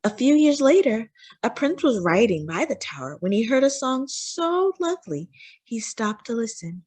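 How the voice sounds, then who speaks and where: Children's storyteller, female, animated pitch, dramatic emphasis, narrating fairy tales to young listeners. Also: moderate pace, slightly rough. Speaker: children's read-aloud storyteller.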